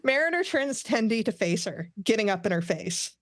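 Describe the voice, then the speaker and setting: A woman's voice: medium pitch, big pitch swings, moderate pace, no room sound. A woman narrates a script's stage directions for a table read. Her voice is mid-range and the recording is dry, with very little room sound.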